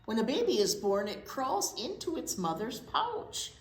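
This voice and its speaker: A woman's voice: a little high, very animated, unhurried. A woman reading a picture book aloud to young children, with simple explanations and chatty asides. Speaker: children's storytime host, librarian. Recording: echoey room with faint background noise.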